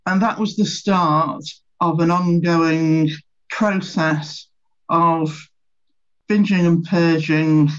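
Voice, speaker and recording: A woman giving a brisk, matter-of-fact interview testimony. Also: on the low side, fairly even intonation. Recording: slightly muffled.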